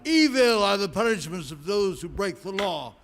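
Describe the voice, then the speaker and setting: A man's voice: high voice, very animated, gravelly, a loud crowd, heavily acted. A man playing a solemn, commanding beast-man lawgiver, declaiming ritual words in a live radio drama. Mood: solemn, menacing. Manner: slow ritual chanting, grandiose, declamatory. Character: solemn, commanding beast-man priest who chants the law. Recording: live hall recording, faint noise.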